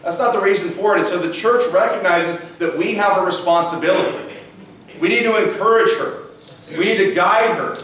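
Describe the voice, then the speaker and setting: Pastor with a big voice in an echoey church. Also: male voice, high-pitched, a little fast, fairly bright tone, far from the mic, slight background noise. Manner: conversational preaching with rhetorical questions. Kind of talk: sermon. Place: church sanctuary. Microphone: far camera, room mic. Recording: echoey church sanctuary, mic from afar.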